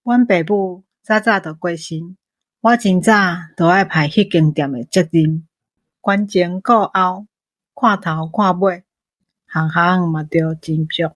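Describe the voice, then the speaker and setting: A woman's voice: fairly low pitch, slow, no echo. A woman with a Taiwanese accent playing a cheerful young village shopkeeper in an audio drama. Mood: cheerful, reflective.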